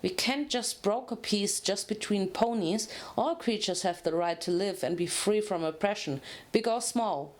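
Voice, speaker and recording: A woman's voice: medium pitch, normal speed, natural voice. A woman voicing a kind, studious female unicorn pony, earnest and articulate, in a story reading. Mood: indignant.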